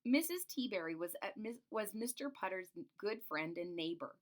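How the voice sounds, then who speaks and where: A woman reads a picture book aloud for young children. Her voice sits at a medium pitch. She is expressive and pauses the story to explain things simply, like a teacher.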